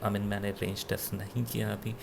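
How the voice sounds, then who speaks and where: A man with an Indian accent giving an unscripted gadget review in a halting, self-correcting way, switching between two languages. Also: fairly low pitch, monotone, vocal fry, noisy background. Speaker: product reviewer. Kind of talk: unscripted gadget review, mixing Hindi with English.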